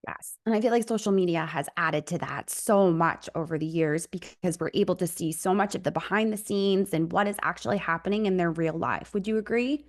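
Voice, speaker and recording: A woman's voice: fairly low pitch, a little fast, hardly any pauses. A woman hosting a business podcast, friendly and affirming, who introduces her guest and asks questions. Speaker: podcast host. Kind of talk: podcast interview.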